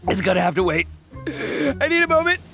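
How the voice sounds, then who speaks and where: A man's voice: high voice, sing-song, fairly quick, bright, forceful tone, soft background music, exaggerated voice. A man playing a brash, big-hearted young oni gang boss in a video game, blustering and theatrical. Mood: winded.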